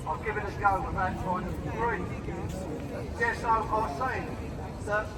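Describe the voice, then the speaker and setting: A man with a quiet voice giving an earnest, preachy speech through a megaphone at an outdoor rally, full of rhetorical questions. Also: high voice, unhurried. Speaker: rally speaker. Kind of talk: impromptu street rally speech. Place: outdoor high street rally. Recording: echoey distant street recording with crowd. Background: crowd and street noise.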